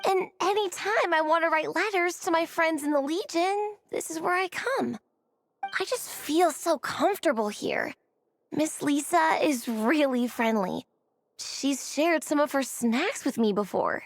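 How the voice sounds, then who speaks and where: A woman's voice: high voice, lively intonation, unhurried, a little hiss or hum. An actress voicing an eager, friendly young girl who loves books in a video game, chatty and earnest. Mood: proud, cheerful. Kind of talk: English video game voice acting.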